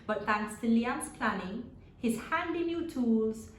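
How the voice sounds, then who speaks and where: A woman reading a children's story aloud expressively, pausing to put simple questions to her young listeners. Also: on the high side, expressive, echoey room, slight background noise. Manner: asks simple, repeated questions as to small children.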